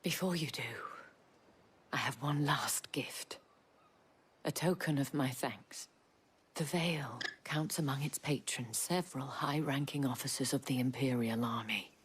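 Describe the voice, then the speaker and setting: An actress playing a composed courtesan-house madam in a game cutscene, speaking low and breathy with measured, formal, knowing phrasing. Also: female voice, full character voice. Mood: hushed, knowing. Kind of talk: dramatic video game cutscene acting. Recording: loud music under the voice.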